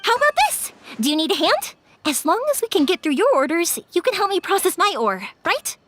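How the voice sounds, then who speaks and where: A bubbly young fireworks maker. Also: female voice, extremely high pitch, very animated, fairly quick, background noise. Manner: bubbly, talkative. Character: cheerful young fireworks-shop owner, energetic. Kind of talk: video game voice acting.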